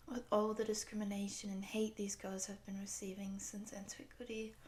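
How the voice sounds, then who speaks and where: A woman playing a polite, earnest program interviewer in a scripted roleplay, speaking softly and breathily in a measured, formal, official manner. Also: mid-range voice, slight background noise, lightly acted. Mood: formal, grateful. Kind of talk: soft-spoken scripted roleplay audio.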